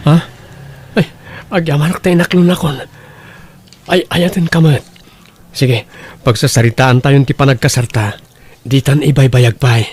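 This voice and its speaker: A man's voice: mid-range voice, fairly even intonation, measured pace, pauses often, a little mellow, breathy edge, no echo. A man playing a plain, familiar village man in an audio drama, with an Ilocano Filipino accent. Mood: affectionate. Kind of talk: melodramatic radio-style drama acting.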